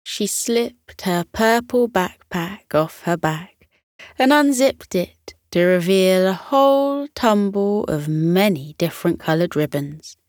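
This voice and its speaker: A woman narrating a children's bedtime story in a gentle, drawn-out, expressive manner. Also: mid-range voice, dry recording, studio quality. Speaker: storyteller, podcast host.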